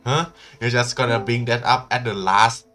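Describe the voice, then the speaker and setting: A man's voice: mid-range voice, steady tone, normal speed, bright, forceful tone, faint music behind. A man with a Thai accent, a native Thai speaker, casually reacting as he streams a game. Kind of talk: casual live gameplay reactions.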